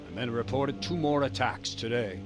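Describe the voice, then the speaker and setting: A man acting as a businesslike medieval captain in a game cutscene. His mid-range voice is brisk and soldierly, with guitar music clearly playing behind it. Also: medium pitch, expressive, natural voice. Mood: businesslike, concerned. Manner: brisk, soldierly, matter-of-fact. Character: dutiful, businesslike medieval guard captain reporting to his lord.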